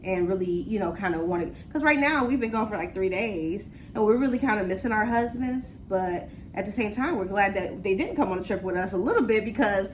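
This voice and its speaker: A woman in her late forties speaks in an easygoing, conversational way, laughing easily. Her voice sits at a middle pitch. Faint background noise is audible behind her. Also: lively intonation, a little fast, hardly any pauses. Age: 40s. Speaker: guest, vlogger's sister. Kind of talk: casual chat.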